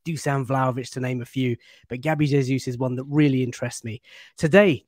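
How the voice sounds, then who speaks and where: A man hosting a football analysis show, introducing his guest and asking measured questions. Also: medium pitch, a little mellow, dry recording, studio quality. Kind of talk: presenting and interviewing.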